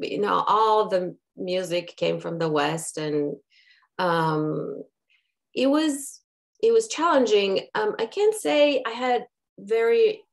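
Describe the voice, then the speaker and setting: Woman in her 50s from bulgaria, on a video call, thinks out loud, lots of restarts, mid pitch. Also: vocal fry. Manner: thoughtful, halting with many restarts. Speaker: guest, brand strategist. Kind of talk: conversational interview.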